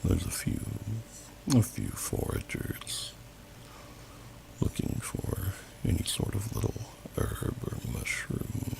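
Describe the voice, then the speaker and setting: Gentle old dragon, very gravelly and slow, ASMR fantasy roleplay, noisy home recording. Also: male voice, very animated, full character voice. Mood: calm, musing. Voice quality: very deep, gravelly, breathy growl. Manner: slow, pensive, archaic formal phrasing. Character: gentle, wise old male dragon, welcoming host.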